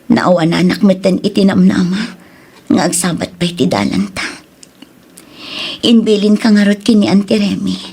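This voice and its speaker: A woman playing remorseful young sweetheart, Ilocano accent, breathy, whispery at times, emotional halting delivery, romance audio drama, background music. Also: medium pitch, darkish tone. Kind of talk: Ilocano radio-style romance drama acting. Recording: music bed under the voice.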